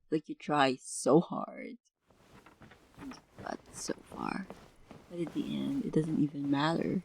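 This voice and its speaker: A woman's voice: deep voice, slow pace, gravelly, long pauses, darkish tone, poor audio. A woman giving casual, playful commentary while playing through a game, in a drawling manner. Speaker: gameplay video maker. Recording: faint background noise.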